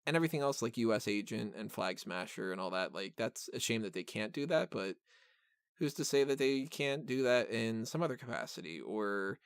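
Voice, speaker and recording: A man's voice: fairly low pitch, expressive, moderate pace, smooth, dry recording, clean studio sound. A man hosting a podcast and talking casually about films in a rambling, informal, mildly profane fan style. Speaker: podcast host reviewing films. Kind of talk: casual film discussion.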